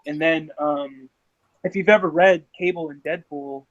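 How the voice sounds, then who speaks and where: A man in his thirties talks in a relaxed chat, stumbling over his words and restarting, then speeding up when he gets excited. His voice sits a little high. The recording sounds dull and lo-fi. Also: measured pace. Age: 30s. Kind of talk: casual chat.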